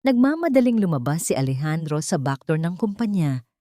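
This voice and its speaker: A woman with a fairly low voice narrates a dramatic tale in a solemn, theatrical way. The sound is dry and close, with no room around it. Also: sing-song, a little fast, dark tone, no room sound. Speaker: audiobook narrator. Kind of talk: dramatic scripted story narration.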